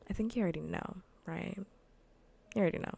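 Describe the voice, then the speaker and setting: A woman livestreamer chatting softly in ASMR style, often whispering with a breathy, gravelly fry, in a casual, rambling way that repeats phrases. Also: on the low side, fast talker. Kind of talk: soft ASMR gaming livestream chat. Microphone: close ASMR microphone.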